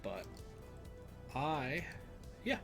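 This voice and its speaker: A man with a stuffed-up nose, thinking aloud slowly with lots of fillers as he streams a geography game. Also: fairly high pitch, big pitch swings, over music. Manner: slow, musing, thinks aloud with many fillers. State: stuffed up, sniffly. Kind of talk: casual live-stream commentary.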